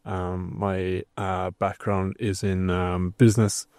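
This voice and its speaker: A man's voice: sits low, flat delivery, slow pace, a little mellow, clear, resonant tone, no echo. A man from the west of Ireland with an Irish accent, introducing himself as a student, hesitant with frequent "um". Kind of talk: self-introduction.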